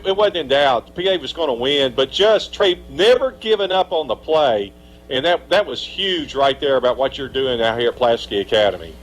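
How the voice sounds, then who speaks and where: A man announcing a high school football game on live radio, chatting through scores and interviewing the coach. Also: animated, normal speed, poor audio. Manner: upbeat, conversational, asks long setup questions. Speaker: play-by-play announcer. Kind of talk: live sports radio commentary.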